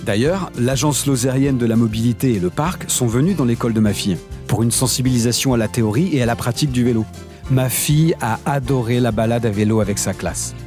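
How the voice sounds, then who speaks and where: A man voicing a polite local elected official and proud father in an animated explainer. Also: medium pitch, over music, lightly acted. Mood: formal. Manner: polite, formal, measured. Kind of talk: scripted cartoon dialogue.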